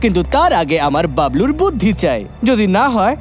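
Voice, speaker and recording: A scheming mad scientist from a children's cartoon. The delivery swings up and down, bossy and boastful, with music playing behind it. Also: male voice, high-pitched, big pitch swings, fairly quick. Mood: gloating. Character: scheming, gloating male mad scientist villain. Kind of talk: dubbed children's cartoon acting.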